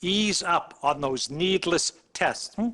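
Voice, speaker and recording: A man's voice: sits high, takes it slowly, bright, forceful tone, heavily acted. A man playing an irate, penny-pinching hospital administrator in a video game cutscene, scolding and sarcastic. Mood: scolding, exasperated.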